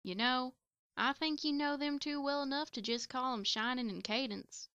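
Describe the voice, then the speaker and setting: A woman voices a young farm pony with a drawl in a cartoon-style audio play. The voice is high and smooth, folksy and no-nonsense. The recording is dry and slightly dull. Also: moderate pace, pushed and bright, no room sound. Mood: friendly, plain. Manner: folksy, down-to-earth. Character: plain-spoken young female country farm pony. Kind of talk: amateur audio drama acting.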